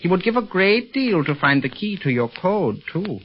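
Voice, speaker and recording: A man playing a polite, slightly halting Chinese tea house owner and secret operative in a 1930s radio serial. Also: high voice, very animated. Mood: grave. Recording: dull old radio recording with music.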